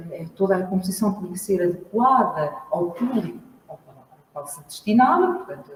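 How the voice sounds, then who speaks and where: Woman with a Lisbon accent presenting a song on stage; measured, explanatory delivery in a long-reverb hall. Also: mid-range voice. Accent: Lisbon Portuguese. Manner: measured, explanatory, pausing to search for words. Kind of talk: spoken introduction at a live event. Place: large hall at a library event. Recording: echoey hall, mic at a distance.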